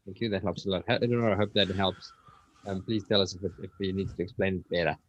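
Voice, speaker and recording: A man's voice: low-pitched, fairly even intonation, fast talker, muffled recording, a little hiss or hum. A man hosting an online session, informally asking questions and recapping answers in simple words.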